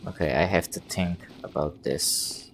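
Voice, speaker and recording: A woman giving casual live gameplay commentary, halting and repeating words as she thinks aloud. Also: extremely low pitch, a little hiss or hum. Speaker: game streamer.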